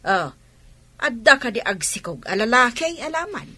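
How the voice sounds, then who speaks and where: A woman with an Ilocano Filipino accent playing a frank, maternal and chiding middle-aged auntie in a radio drama, in a husky voice. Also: on the high side, very animated, moderate pace, bright, forceful tone, no echo, full character voice. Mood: scolding. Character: frank, watchful older female relative, chiding and maternal.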